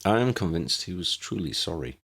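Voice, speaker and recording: Man playing a grave, weary old wizard, low-voiced. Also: big pitch swings, creaky, a bit bright, heavily acted. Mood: solemn, explaining. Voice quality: cracked voice. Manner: formal, measured, wordy.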